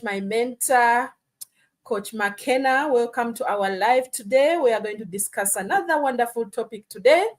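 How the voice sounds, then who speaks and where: Earnest woman from Uganda hosting a live. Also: fairly high pitch. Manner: earnest, many "you know" and "hmm?" tags. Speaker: host. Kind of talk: casual live-stream talk.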